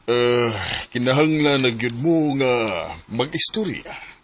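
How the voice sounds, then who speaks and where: An actor playing a caring older father who gently urges his daughter and her partner to talk about marriage in a radio drama. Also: medium pitch, slow pace, natural voice. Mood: advising. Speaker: parent giving advice.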